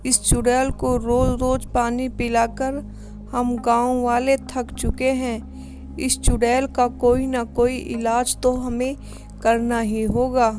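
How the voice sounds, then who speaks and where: Slow, dutiful village wife played by a woman. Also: fairly high pitch, level tone, darkish tone, faint music behind. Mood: weary agreement. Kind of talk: Hindi cartoon story dialogue.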